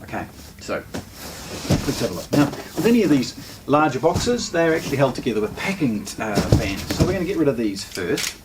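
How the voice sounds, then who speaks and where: A man presenting an unscripted product unboxing, speaking haltingly with drawn-out pauses in the middle of sentences. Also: medium pitch, sing-song. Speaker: presenter of a shop's unboxing channel. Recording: noisy, distant mic, handling clicks.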